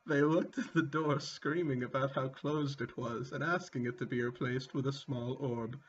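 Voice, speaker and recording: A man's voice: mid-range voice, steady tone, normal speed, slight background noise. A man giving a comedic, mock-dramatic reading with casual sweary asides, amused and prone to breaking into laughter. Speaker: reader. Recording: dull lo-fi sound, music behind. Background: music.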